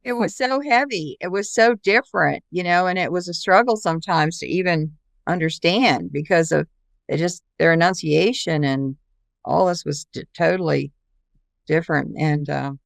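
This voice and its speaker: A woman's voice: lowish voice, normal speed, creaky, no room sound, studio quality. A woman hosting a podcast, talking casually as she interviews a guest.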